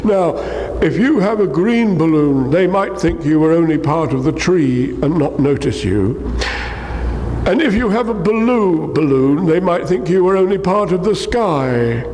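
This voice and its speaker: A man voicing a slow, earnest, simple-minded honey-loving bear from a storybook, with ponderous, drawn-out delivery. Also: a little high, lively intonation, darkish tone, long reverb tail, a little hiss or hum, pitched above the performer's own voice. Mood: ponderous, scheming.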